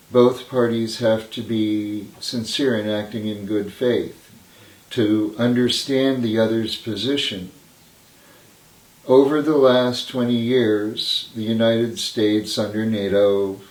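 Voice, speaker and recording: Male activist, slow and deliberate, off-mic in an echoey room. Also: mid-range voice, a little mellow, recorded from a distance. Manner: measured, deliberate. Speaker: veterans' peace activist. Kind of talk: interview answer. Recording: roomy sound from off-mic.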